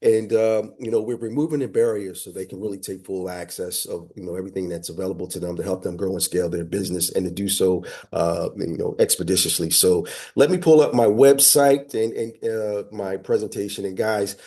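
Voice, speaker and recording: A man's voice: low voice, a little fast, mellow. Congested man presenting on a video call. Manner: affable and upbeat, heavy on filler words. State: sinus congestion, slightly nasal. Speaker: webinar presenter. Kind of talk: business webinar presentation.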